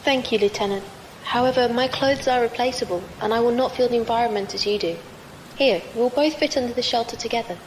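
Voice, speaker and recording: An actress playing a polite, formal and precise humanlike android squire in a science fiction audio drama. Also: female voice, on the high side, a little fast, a little hiss or hum, natural voice, low-quality recording. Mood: gentle, curious.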